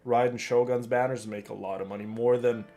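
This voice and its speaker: A man's voice: medium pitch, steady tone, a little fast, dark tone. A man chatting casually and familiarly to his viewers while streaming a video game. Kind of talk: casual live stream chat. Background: game music.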